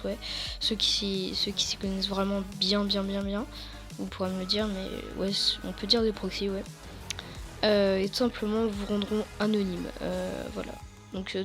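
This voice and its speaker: A teenage girl from France recording a casual computer tutorial at home, a bit hoarse, rambling with many fillers and restarts. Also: mid-range voice, a bit bright. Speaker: tutorial YouTuber.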